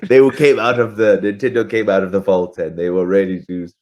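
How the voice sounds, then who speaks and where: A man's voice: lowish voice, level tone, fairly quick, dull audio. A man co-hosting a podcast in a slangy, informal, chatty way. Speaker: podcast co-host. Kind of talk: casual chat.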